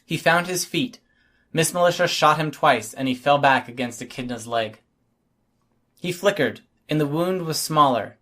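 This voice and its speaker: A man's voice: on the high side, moderate pace, sharp tone. Man narrating an action-heavy audiobook. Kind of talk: scripted first-person fiction narration.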